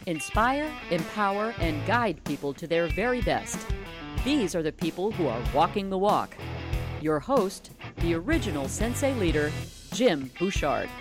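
A woman's voice: fairly low pitch, big pitch swings, unhurried. A woman announcing a show intro in a punchy, emphatic scripted delivery. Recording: clear guitar music behind the voice.

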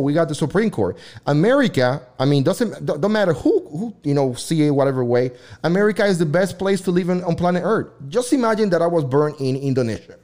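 A man's voice: a little high, warm tone. A man hosting a casual debate chat, rambling with frequent restarts and swearing freely.

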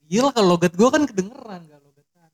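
A man's voice: sits high, lively intonation, quick pace, low-quality recording. A young man chatting casually, teasing his friends and asking lots of questions. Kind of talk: casual chat.

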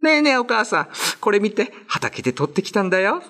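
Man putting on a high, eager little child. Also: sing-song, a little fast, sharp tone, exaggerated voice. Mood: excited. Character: lively small child of a cat mother, eager, innocent. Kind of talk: radio drama reading.

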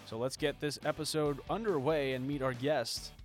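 A man's voice: on the high side, fairly even intonation, moderate pace, soft background music. Upbeat male sports podcast host. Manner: upbeat, scripted intro then conversational questions.

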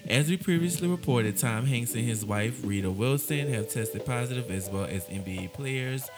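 A man's voice: medium pitch, expressive, moderate pace, music in the background. A man co-hosting a casual gossip podcast, reading celebrity news aloud with laughing asides. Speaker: podcast co-host.

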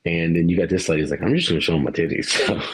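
A man's voice: low-pitched, sing-song, very fast, breathy edge. A man co-hosting a podcast, rambling through a movie review in casual chat, laughing and swearing now and then. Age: adult parent. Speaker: podcast co-host.

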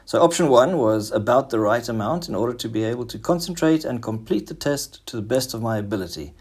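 A man's voice: medium pitch, expressive, fairly quick, darkish tone, husky. A man hosting a podcast, moving between scripted narration and informal interviewing. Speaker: podcast host.

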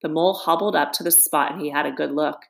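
A woman reading a children's story as scripted narration, in an expressive manner. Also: low-pitched, quick pace, creaky. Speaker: storybook reader.

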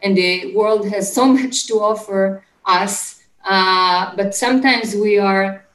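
A middle-aged woman with an Israeli accent, answering interview questions conversationally in a halting, searching way. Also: mid-range voice, fairly bright tone, echoey room, rough recording. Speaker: interview guest, startup executive. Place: home office, remote call.

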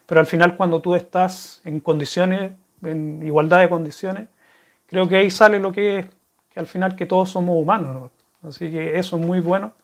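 A man's voice: sits high, steady tone, moderate pace. A man with a Chilean accent, chatting casually to viewers and answering their questions in a rambling, friendly way. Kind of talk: casual chat to camera.

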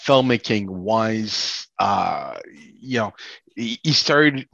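A man's voice: lowish voice, expressive, creaky, a bit bright, no echo. A man co-hosting a casual film discussion, rambling and stammering as he works through his points. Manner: halting, stammering, rambling delivery. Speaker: podcast co-host. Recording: dull lo-fi audio.

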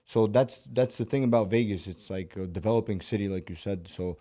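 A young man from Russia raised in Brooklyn, a fighter talking casually as a podcast guest, relaxed and hesitant with many restarts. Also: lowish voice, brisk. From born in Russia, raised in Brooklyn.

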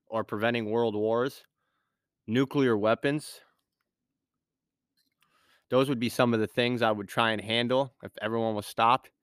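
A man with a lowish voice, a little scratchy from a sinus infection, talks through a solo podcast episode in a studio. He rambles casually, restarts his sentences and leans on fillers. The sound is clean and smooth, close and dry with no room around it. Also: fairly bright tone, dry recording. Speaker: podcast host.

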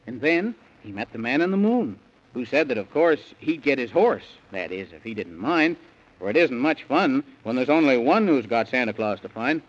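A man's voice: mid-range voice, big pitch swings, normal speed. A man narrating a rhyming children's bedtime story in theatrical, sing-song 1930s radio style, on an old recording. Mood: dramatic storytelling. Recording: dull, band-limited 1930s radio recording.

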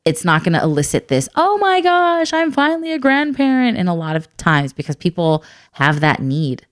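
Woman, thirties, low voice, smooth tone, dry close sound, earnest, reflective. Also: very animated, moderate pace, no echo. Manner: earnest, reflective, soft-spoken. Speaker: adoptive mother and guest. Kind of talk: candid conversational chat.

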